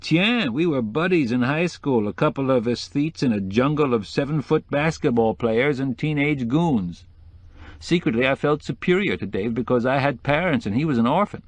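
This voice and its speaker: Guy doing a gushy sly decorator for an audiobook, tosses in french bits, voice pitched up, close dry sound. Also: expressive, normal speed, no echo, close to an everyday voice. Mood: rankled, candid. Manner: sprinkles French phrases into hip American slang. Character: flamboyant, witty male interior decorator, sly and gushing. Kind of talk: acted audiobook dialogue.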